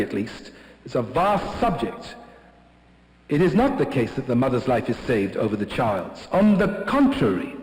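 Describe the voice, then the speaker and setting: Rabbi preaching in an echoing hall. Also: male voice, darkish tone. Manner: emphatic, sweeps up high for stress, quotes Hebrew texts. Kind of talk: sermon. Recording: echoey hall, long reverb.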